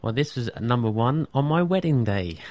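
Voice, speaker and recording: A man's voice: mid-range voice, lively intonation, normal speed, a crowd in the background. A middle-aged man, a fitness trainer, explaining things casually as a radio guest. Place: radio studio.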